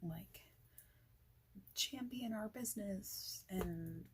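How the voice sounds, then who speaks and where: A woman playing a friendly, informal boss in an ASMR interview roleplay, speaking softly and haltingly with whispered phrases. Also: mid-range voice, noisy background. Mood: candid, easygoing. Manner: halting, casual, soft-spoken, with breathy whispered phrases.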